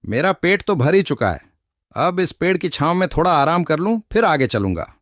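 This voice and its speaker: A man acts out a foolish donkey from a children's cartoon, full of himself and easily panicked. The voice sits on the high side for a male and is dramatic and exclamatory, recorded close and dry. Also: sing-song, moderate pace, fairly bright tone, no echo. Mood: smug, musing. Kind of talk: children's animated moral story dialogue.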